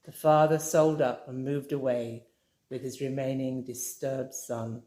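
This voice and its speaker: An author reading her own crime novel aloud in a measured, dramatic storytelling manner. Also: extremely low pitch, little pitch movement, warm tone. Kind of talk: fireside audiobook reading. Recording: roomy echoey room.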